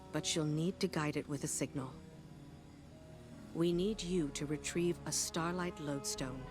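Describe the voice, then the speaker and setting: An actress playing a solemn, weary woman officer burdened by guilt, speaking in a measured, earnest way in a video game. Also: deep voice. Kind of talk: dramatic video game voice acting.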